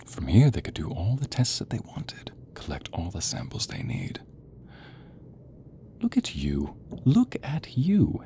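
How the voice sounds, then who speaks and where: A man playing a sadistic, gloating monster hunter in an audio role play, with slow menace, breathy whispers and sharp commands. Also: a bass voice, a little hiss or hum, heavily acted. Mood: gloating, sinister. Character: sadistic male monster hunter, mad, theatrical, gloating villain.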